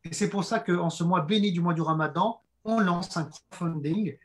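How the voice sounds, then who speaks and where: Man, hoarse, slightly high-pitched, earnest, halting, presentation talk. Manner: earnest, halting with frequent fillers and restarts. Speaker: project presenter.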